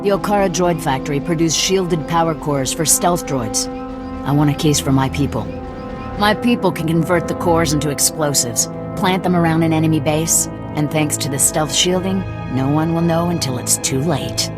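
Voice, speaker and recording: A woman's voice: low voice, moderate pace, music in the background, lightly acted. An actress playing a tough, suspicious female rebel cell commander in a video game, blunt and threatening. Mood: blunt, menacing. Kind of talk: scripted video game dialogue.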